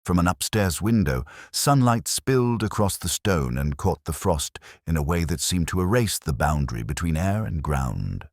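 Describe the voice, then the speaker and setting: Very deep-voiced male mystery narrator. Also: slightly rough, no echo, studio quality. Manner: measured, literary, reflective. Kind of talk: scripted first-person detective story narration.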